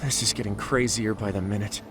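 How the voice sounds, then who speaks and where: A man's voice: on the low side, fairly even intonation, brisk, close to an everyday voice. An actor playing a man who briefs his detective partner on a case in a game cutscene, in an explanatory tone. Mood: explaining. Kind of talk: video game cutscene voice acting. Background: background music.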